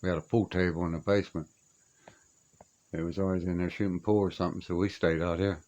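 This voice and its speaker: A man's voice: low-pitched, little pitch movement, fast talker, darkish tone, dull audio. An older man chatting casually and reminiscing in a plainspoken, folksy way, with homespun grammar. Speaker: radio hobbyist showing his collection. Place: small upstairs room being stripped to studs.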